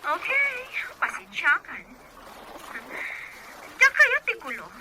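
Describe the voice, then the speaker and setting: Palabang kabit, napakasigla ng tono, mataas. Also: female voice, very high voice, wildly swooping pitch, slow, heavy background noise, exaggerated voice. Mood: defensive, apologetic. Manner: defiant, taunting. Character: brazen mistress, taunting, defensive young woman. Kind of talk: Ilocano radio drama acting.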